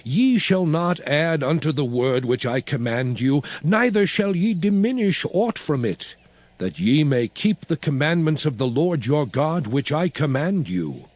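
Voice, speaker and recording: Commanding biblical lawgiver, higher-pitched male voice, sweeping intonation, solemn oratory, dramatized scripture. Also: sing-song, no room sound. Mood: solemn, exhorting. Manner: solemn, oratorical, measured, emphatic. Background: music.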